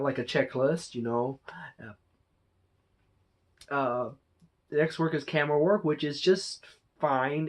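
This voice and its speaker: Halting, rambling casual reviewer. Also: mid-range voice. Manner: halting, rambling, frequent self-corrections. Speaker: movie reviewer and channel host. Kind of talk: casual unscripted review monologue.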